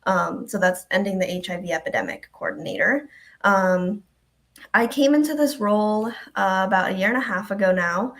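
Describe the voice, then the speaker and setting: A woman's voice: medium pitch, animated, moderate pace, fairly bright tone. A young woman from Ecuador, a health department coordinator, chatting casually as a guest on a live stream with many ums.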